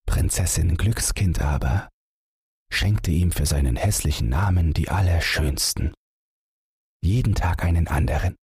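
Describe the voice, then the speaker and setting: Man, audiobook narrator, slow, husky, bedtime fairy-tale reading, dry close recording. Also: low-pitched, a little mellow, dry recording.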